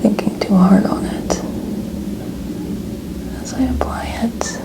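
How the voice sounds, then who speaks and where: Whispering woman in soft ASMR narration. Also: mid-range voice, low-quality recording. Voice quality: breathy, unvoiced whisper. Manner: gentle, unhurried, softly narrating each step. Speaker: ASMR content creator.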